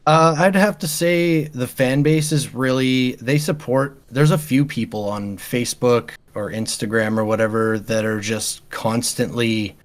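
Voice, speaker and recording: A man chatting casually as an interview guest, quiet and calm in his delivery. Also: mid-range voice, unhurried, hardly any pauses. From Canada. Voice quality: quiet speaking voice. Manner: calm, easygoing. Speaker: metal band vocalist, podcast guest.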